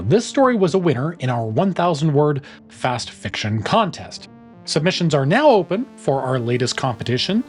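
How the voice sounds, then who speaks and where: A man reading a scripted outro as the presenter of a fiction production. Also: medium pitch. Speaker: presenter and announcer. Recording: faint guitar music behind.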